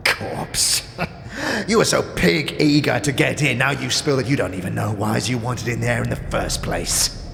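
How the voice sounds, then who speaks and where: Creaky, sly old schemer in echoing hall. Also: male voice, medium pitch, a bit bright. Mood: mocking. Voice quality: creaky, often dropping into breathy whispers. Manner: theatrical, taunting, drawn-out phrases with street slang. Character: withered, sly, contemptuous old male underworld boss. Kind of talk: fantasy audio drama acting. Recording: long hall reverb, music behind.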